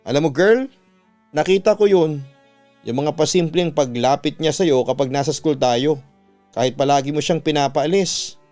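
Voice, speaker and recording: A man's voice: a little high, moderate pace, a little mellow, faint music behind, close to an everyday voice. A man voicing a sassy, caring young male best friend in a radio story, with a chummy, gossipy manner. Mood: chummy, earnest.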